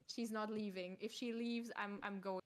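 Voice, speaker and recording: This is a woman with a German accent, speaking English as her second language. She's a streamer in a loose, animated chat, laughing often and swearing casually. Her voice sits at an ordinary middle pitch. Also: normal speed.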